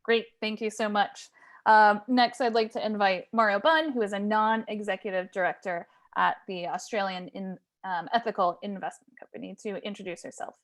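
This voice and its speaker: A woman with a mid-pitched voice moderates a webinar panel. She is polite and brisk as she brings in speakers and poses questions. It sounds like a video call.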